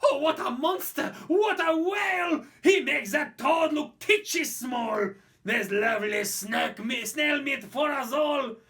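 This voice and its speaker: A woman reading a storybook poem, shouting the lines of a greedy, frenzied mob of men. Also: high voice, slow, pitched above the performer's own voice, heavily acted. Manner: shouting wildly.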